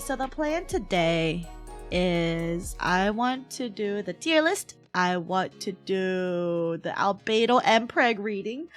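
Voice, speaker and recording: A woman with a fairly high voice that is hoarse and cracks as it gives out. She talks at an unhurried pace, playful and giggly, as she hosts a casual livestream and answers chat. Music plays behind her. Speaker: livestream host, VTuber. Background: background music.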